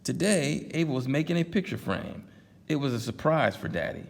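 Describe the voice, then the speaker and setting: A man reading a picture book aloud at a library storytime, pausing for chatty asides to his listeners. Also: on the high side, expressive, vocal fry, big-room sound. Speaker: storytime reader.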